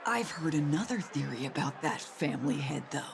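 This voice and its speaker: A man's voice: a little high, lively intonation, a little fast, vocal fry, a faint crowd, slight background noise. An actor voicing a nosy but courteous young male security worker in a video game. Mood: curious. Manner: curious, courteous. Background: game music.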